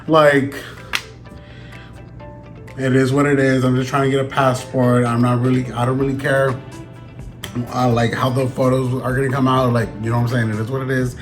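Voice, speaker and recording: A man vlogging in a casual, rambling, joking way, his voice a little raspy and tired as he gets over an illness. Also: medium pitch, level tone, normal speed, pauses often. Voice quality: slightly raspy. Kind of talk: casual vlog chat. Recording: background music.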